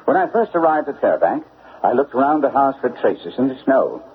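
A man's voice: mid-range voice, big pitch swings, moderate pace. An actor playing a shrewd, self-assured male detective in a classic radio drama, speaking precisely and formally. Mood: assured, explaining. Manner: precise, formal, incisive delivery. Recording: phone-like old radio recording, faint noise.

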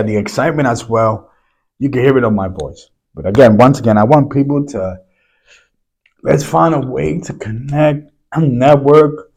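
A hoarse man who is losing his voice hosts a solo podcast, rambling loosely and clearing his throat often. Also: mid-range voice, a little mellow. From New York City. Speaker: podcast host.

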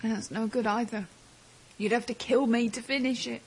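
A woman playing an intense, solemn young woman in an audio drama, with a husky voice. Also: a little high, steady tone, normal speed, a little mellow, low-quality recording. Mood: grave, firm. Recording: music under the voice.

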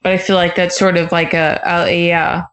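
A woman's voice: on the low side, flat delivery, moderate pace, a bit bright. A woman in her twenties, a podcast guest, talking in a hesitant way with many fillers as she searches for words. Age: 20s. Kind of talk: casual conversation.

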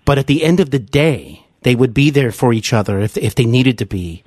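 A middle-aged American man interviews in an earnest, effusive way. His pitch is average and he talks at length, conversationally. The sound is close and dry. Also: lively intonation, fairly quick, darkish tone, no room sound. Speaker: podcast host interviewer.

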